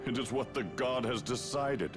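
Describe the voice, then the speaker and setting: An actor playing a sinister, gloating spirit of chaos with ominous, theatrical, drawn-out delivery in a video game cutscene. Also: male voice, mid-range voice, lightly acted. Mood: grand, gloating. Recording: loud background music.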